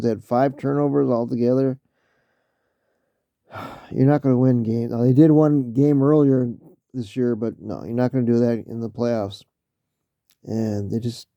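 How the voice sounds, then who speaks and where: Gravelly, dark-voiced man losing his voice. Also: mid-range voice, dry recording, lo-fi sound. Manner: halting, many fillers and restarts, frequent throat clearing. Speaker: vlogger talking football. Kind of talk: casual spoken commentary.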